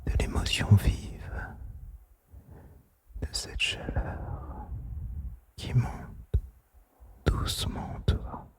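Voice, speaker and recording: A man whispering softly and intimately in an ASMR style, soothing and gentle, close to the microphone. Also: very slow, pauses often. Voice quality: breathy, mostly unvoiced whisper. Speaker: host of a whispered relaxation podcast. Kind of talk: ASMR whispered guided relaxation monologue. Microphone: close microphone, made for headphones.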